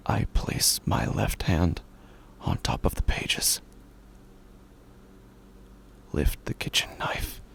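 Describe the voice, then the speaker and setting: An actor playing a haunted, grieving, quietly unhinged husband who narrates a horror audio drama in halting fragments with a breathy, gravelly whisper. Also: male voice, low-pitched, exaggerated voice. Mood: strained, pained. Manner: halting, fragmented, drawn-out phrases.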